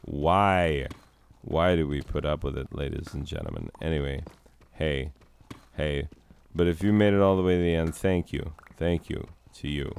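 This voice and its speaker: A man, a teacher who hosts his own gaming videos, telling a long rambling story about his week in a self-deprecating way, his voice a little hoarse from a cold. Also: rumbling low, expressive, moderate pace, a little mellow. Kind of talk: rambling casual commentary over gameplay.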